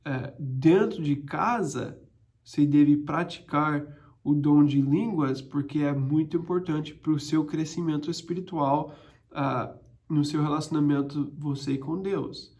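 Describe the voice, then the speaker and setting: Young guy doing a bible study, kinda high gravelly voice, lots of ums and restarts. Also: takes it slowly, a little mellow. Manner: hesitant, frequent restarts and fillers. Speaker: Bible teacher. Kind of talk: informal Bible study teaching.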